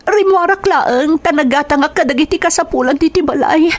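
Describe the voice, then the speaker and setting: A woman's voice: high voice, brisk, close to an everyday voice. An actress playing a desperate young mother pleading for her sick child. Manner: pleading, tearful. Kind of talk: Ilocano radio-style drama acting.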